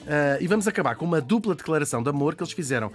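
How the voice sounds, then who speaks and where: A man with a Portuguese accent telling a lively radio biography, animated, laughing often and adding quick asides. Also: a little high. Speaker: radio columnist. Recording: music bed under radio studio talk.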